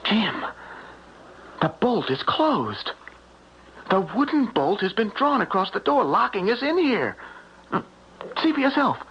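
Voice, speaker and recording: An actor playing an earnest believer in ghosts in an old-time radio drama, with an intense, halting, suspenseful delivery. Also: male voice, sits high, very animated, full character voice. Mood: anxious, urgent. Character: eerie, earnest male believer in haunted places. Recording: dull, noisy 1970s radio broadcast.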